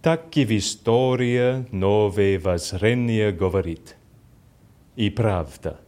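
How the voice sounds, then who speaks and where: An actor playing an earnest male prisoner in an audio drama, reading aloud in a deliberate, studious way. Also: low voice, a little mellow.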